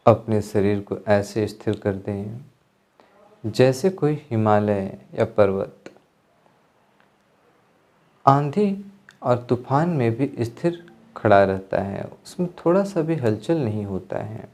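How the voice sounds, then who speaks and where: A man giving guided meditation instruction, speaking calmly in measured phrases with pauses as an instructor. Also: on the low side. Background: music.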